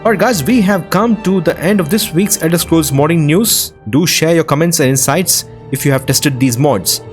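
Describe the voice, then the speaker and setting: A man's voice: fairly high pitch, moderate pace, faint music behind. A man hosting a gaming news show, presenting to viewers in a scripted style.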